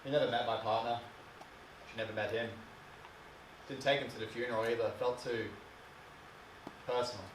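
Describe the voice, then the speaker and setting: Male actor as bitter, wounded adult son: brooding dramatic monologue, halting and emotional, echoey, away from the mic. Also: mid-range voice, flat delivery, lightly acted. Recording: distant mic, echoey room, faint hiss.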